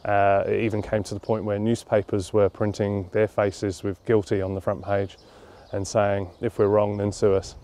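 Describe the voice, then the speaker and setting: A documentary interviewee at a campsite, a man in his thirties with a mellow, lowish voice, pausing to think as he explains himself. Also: little pitch movement. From London, England. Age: 30s. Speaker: interviewee, charity cyclist. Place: outdoors at a leafy campground.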